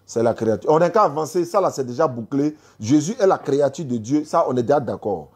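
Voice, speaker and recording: A woman debating religion live as a host, insistently repeating pointed questions in a husky voice. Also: rumbling low, animated, fast, a little mellow. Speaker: host taking phone calls on religion.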